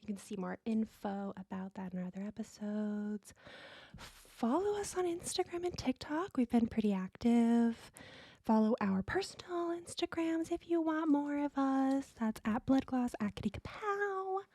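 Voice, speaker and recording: A woman's voice: a little high, sing-song, moderate pace, warm tone, breathy edge, dry recording. A woman co-hosting a podcast in casual, candid, slangy chat, sometimes dropping into a whisper. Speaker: podcast co-host.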